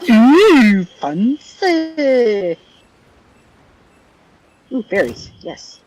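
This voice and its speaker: A woman's voice: a little high, very animated, very slow, darkish tone. A woman giving casual, playful gameplay commentary, exclaiming and talking to herself as she goes. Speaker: gaming streamer. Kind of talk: casual game playthrough commentary. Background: video game music.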